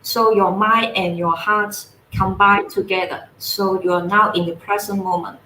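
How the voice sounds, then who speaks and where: A woman from Hong Kong with a Cantonese accent, giving a guest talk in an online panel and explaining things slowly and gently. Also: mid-range voice, fairly even intonation, roomy sound, slight background noise, rough recording. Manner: slow, gentle explaining with soft laughs. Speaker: guest speaker.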